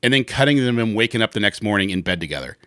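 Animated man in casual podcast chat. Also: on the low side, rapid-fire, no room sound, studio quality. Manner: informal, blunt, profane. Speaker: podcast host.

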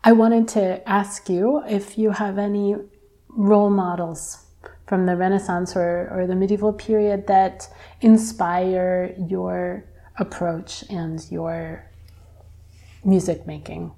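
A woman interviewer with a dark, mellow tone asks questions and gives short encouraging replies. Her pitch sits in a middle range. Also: level tone, slow. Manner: brief encouraging responses.

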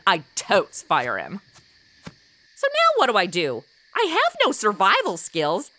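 A woman's voice: high-pitched, bright, forceful tone, a little hiss or hum, heavily acted. A woman playing a sassy, entitled young modern princess in a comic radio play, sarcastic and slangy. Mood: whiny.

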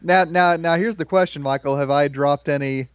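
A man's voice: fairly high pitch, moderate pace, smooth, no room sound. Thirties male podcast host, easygoing chat, clean resonant tone, close dry mic. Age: 30s. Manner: relaxed, chuckling, conversational. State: slightly hoarse. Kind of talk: casual chat.

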